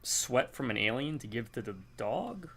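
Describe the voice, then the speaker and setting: A man's voice: medium pitch, animated, normal speed, vocal fry, fairly bright tone. A man chatting casually while gaming, telling a long rambling story and acting out bits of dialogue. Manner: rambling storytelling, acts out quotes. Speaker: gamer co-op player.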